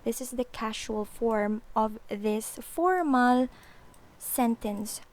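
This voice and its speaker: A woman's voice: a little high, slow pace. A woman teaching a language lesson, patiently explaining and repeating example phrases.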